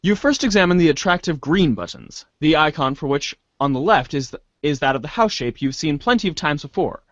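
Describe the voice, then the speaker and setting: A man's voice: fairly high pitch, sing-song, fairly quick, hardly any pauses, a bit bright, no room sound, highs cut off. A man giving a theatrical dramatic reading of scripted narration, now and then stumbling and rereading words. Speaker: voice reader in a webcomic reader's theater.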